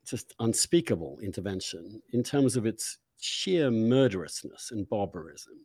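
Male host, lively intonation, emphatic opinionated conversation with halting repetitions. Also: lowish voice, slightly rough, dry recording. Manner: emphatic, halting, repeating words for stress. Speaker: interview host.

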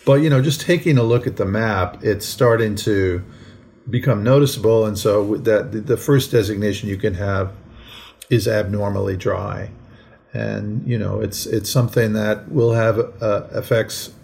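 Low, gravelly American man explaining things. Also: steady tone, darkish tone. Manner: measured, explanatory, hedging with asides. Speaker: podcast co-host. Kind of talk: conversational current-events discussion. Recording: faint background noise.

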